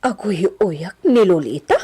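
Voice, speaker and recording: A woman playing a sympathetic village servant in a radio drama, husky, with a hushed, gossipy manner. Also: mid-range voice, animated, unhurried. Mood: concerned.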